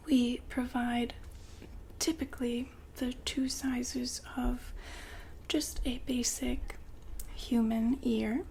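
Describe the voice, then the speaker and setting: A woman performs an ASMR roleplay as a polite saleswoman in a breathy whisper. Her delivery is unhurried and very even, with gentle patter. A faint ambient hiss sits behind the voice. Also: fairly high pitch.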